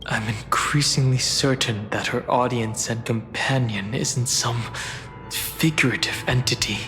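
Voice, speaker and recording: An actor playing a solemn, thoughtful observer in a fantasy video game, speaking gravely and deliberately. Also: male voice, medium pitch, pushed and bright. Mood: grave, pondering. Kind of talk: dramatic video game voice acting. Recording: hall reverb, music behind.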